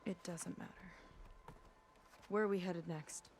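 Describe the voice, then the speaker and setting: An actress playing a proud, guarded young female marine in a video game cutscene, brisk and plain-spoken, with a wry edge. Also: on the low side, steady tone, a little mellow, slight background noise, natural voice. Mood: frustrated, stoic. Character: proud, guarded young woman marine scout seeking her sister.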